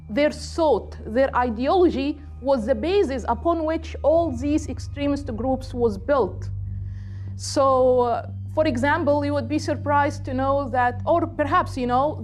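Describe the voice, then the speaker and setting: A middle-aged woman with an Egyptian accent, a native Arabic speaker, giving an earnest, deliberate political talk off the cuff. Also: sits high. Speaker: political activist and guest speaker.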